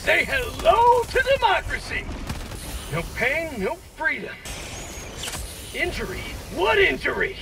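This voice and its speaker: Gravelly, strained, fanatical soldier. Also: male voice, very high-pitched, wildly swooping pitch, slow, pauses often, heavily acted. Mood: defiant, strained. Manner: forceful shouted slogans. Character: fanatical, gung-ho male soldier in futuristic war game. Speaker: player character voice. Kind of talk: video game combat barks. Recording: distant game audio under loud combat noise. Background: loud game combat effects.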